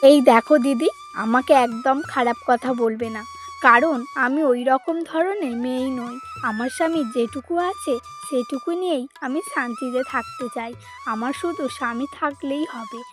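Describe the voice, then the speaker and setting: High-voiced, plaintive young wife, acted by a woman. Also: sing-song, measured pace, music in the background. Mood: indignant, devoted. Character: poor, devoted young housewife, plaintive and anxious.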